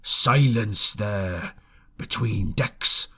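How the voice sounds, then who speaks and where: Villainous old sea captain played by a male narrator: husky, slow, drunken, domineering storybook bellow. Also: on the low side, bright, forceful tone, heavily acted. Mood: menacing.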